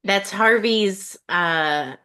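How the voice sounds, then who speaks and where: A woman's voice: medium pitch, extremely slow, pushed and bright. A woman hosting a community video call, bubbly and enthusiastic, laughing often as she welcomes people. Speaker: host of a speakers' community meeting.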